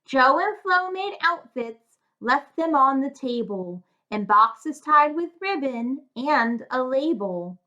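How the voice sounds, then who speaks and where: Female children's story reader, high-pitched, unhurried, sing-song, read-aloud style, slightly dull recording. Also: very animated, sharp tone. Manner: sing-song, addressing young listeners with questions. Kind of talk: read-aloud of a rhyming children's fairy tale.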